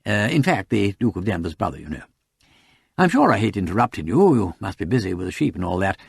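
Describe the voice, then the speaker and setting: A man voicing a breezy, polite aristocratic gentleman in a story, rambling on in an upper-class English accent. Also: fairly low pitch, sing-song, fast talker, creaky, husky, no echo, full character voice.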